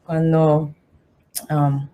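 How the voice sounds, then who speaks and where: Slow, hesitant woman from the Philippines. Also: sits low, little pitch movement, highs cut off. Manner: hesitant, many fillers, slips into English. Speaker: guest. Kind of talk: nervous testimony in halting Spanish.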